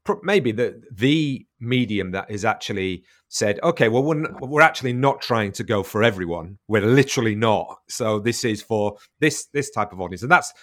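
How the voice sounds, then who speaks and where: A man's voice: lowish voice, animated, moderate pace, few pauses. A man interviewing a guest, thinking aloud in a hesitant way with many restarts. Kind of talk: conversational interview.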